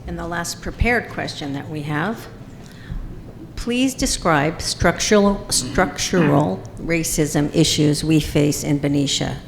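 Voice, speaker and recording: A woman's voice: low voice, gravelly, a little hiss or hum. A woman moderating a candidate forum, reading questions and calling on speakers. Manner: slow, brief, calls names.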